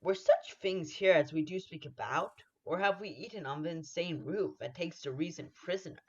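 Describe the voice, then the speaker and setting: A bold but wary noble soldier in a radio Shakespeare played by a student actor. The delivery is declamatory at a medium pitch. The sound is dull and muffled. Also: moderate pace, a little mellow, husky, lightly acted. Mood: amazed. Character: wary, bold noble warrior questioning strange witches. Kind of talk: 1940s radio play acting of Shakespeare.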